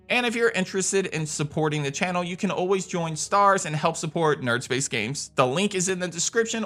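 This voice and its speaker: Male countdown host for gaming videos: forceful, bright delivery, enthusiastic, music underneath. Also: on the high side. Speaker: video game channel host. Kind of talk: scripted countdown commentary. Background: background music.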